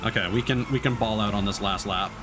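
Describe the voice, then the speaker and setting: A man's voice: on the low side, brisk, background noise. A man giving casual livestream gaming commentary, chatting as a streamer and swearing casually. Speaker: game streamer. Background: game music and racing car sounds.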